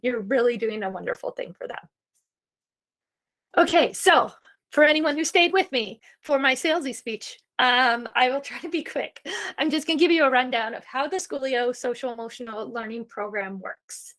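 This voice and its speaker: A woman's voice: sits high, normal speed, fairly bright tone. A woman presenting a homeschooling webinar in a reassuring, chatty way, with frequent fillers. She is starting to lose her voice. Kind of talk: informal instructional webinar talk.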